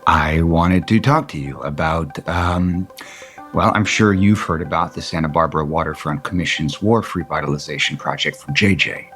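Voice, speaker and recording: Wistful rich developer in an audio soap, deep voice, kinda hesitant and tender, faint music. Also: male voice, moderate pace, a bit bright. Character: wistful wealthy male property developer, longing and apologetic. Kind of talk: audio soap opera acting. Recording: music bed under the dialogue.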